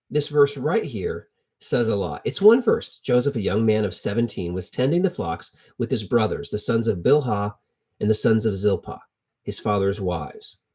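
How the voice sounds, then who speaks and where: A middle-aged American man tells Bible stories informally with personal anecdotes, in a halting, reflective way, repeating phrases for emphasis. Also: medium pitch, darkish tone, breathy edge. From United States. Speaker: lay Bible storyteller.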